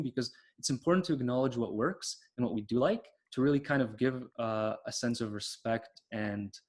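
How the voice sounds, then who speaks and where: Eager man explaining on a call. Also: on the low side. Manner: eager, explanatory, many fillers. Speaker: panelist, platform founder. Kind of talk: online panel discussion.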